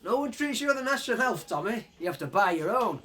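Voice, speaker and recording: An actor with a Northern English accent playing an injured, self-mocking miner, cheeky and anxious, in a naturalistic radio play. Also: male voice, sits high, very animated, quick pace, bright, forceful tone, heavily acted. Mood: cheeky, curious.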